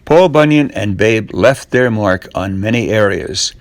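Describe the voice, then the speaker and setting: Slow, animated older male storyteller. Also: fairly low pitch, dry recording. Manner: deliberate, with dramatic pauses. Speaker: storyteller reading a tall tale. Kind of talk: storybook narration for children.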